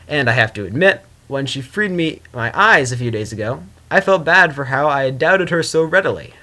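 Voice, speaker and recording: Man voicing sardonic chaos spirit, lively and swooping. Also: medium pitch. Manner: sarcastic, grumbling, theatrical. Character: Discord, male chaos spirit, sardonic, vain, wary prisoner. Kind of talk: dramatic first-person fan fiction reading.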